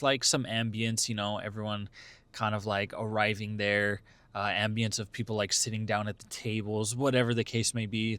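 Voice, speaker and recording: A man's voice: fairly low pitch, a bit bright, dry recording. Casual young man vlogging, full of fillers. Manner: rambling, many fillers and restarts. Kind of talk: casual talk to camera.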